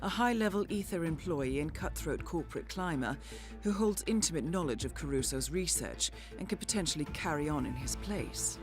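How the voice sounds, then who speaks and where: An actress playing a composed female intelligence handler, giving formal, measured, precise briefings in a video game. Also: low voice, animated. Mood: composed, grave. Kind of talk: scripted mission briefing narration. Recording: music behind the voice.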